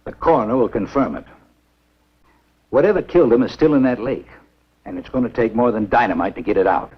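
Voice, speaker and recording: A man acting a grave, stubborn small-town American doctor in a 1950s B-movie, with a husky, deliberate, emphatic delivery. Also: medium pitch, expressive. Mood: grave, insistent. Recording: dull, muffled 1950s film soundtrack.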